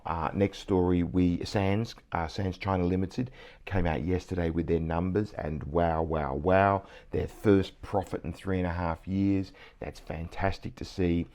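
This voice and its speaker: A croaky, deep-voiced man in middle age hosts his vlog from indoors late at night. His tone is dark and mellow, and he keeps it hushed. He still brightens with excitement when a big number comes up. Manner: enthusiastic, excitable about numbers. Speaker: CEO and vlog host. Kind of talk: casual news commentary vlog.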